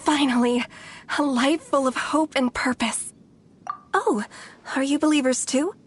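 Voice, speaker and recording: An actress playing a starry-eyed, naively devout young believer in a game, full of earnest conviction. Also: female voice, sits high, unhurried, a little hiss or hum. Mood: blissful conviction. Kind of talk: scripted game character acting.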